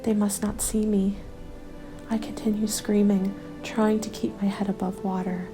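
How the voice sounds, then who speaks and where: A woman narrating a scripted first-person ASMR story in a soft, measured, often whispery delivery. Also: mid-range voice, little pitch movement. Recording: background music under the voice. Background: music.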